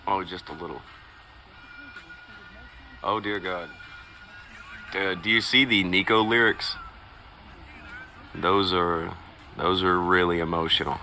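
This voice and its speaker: A man's voice: low voice, slow pace, long pauses, sharp tone, a little hiss or hum. A man co-hosting a live stream, chatting casually, reading viewer comments aloud and telling crude, profane stories with a teasing edge. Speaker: stream co-host.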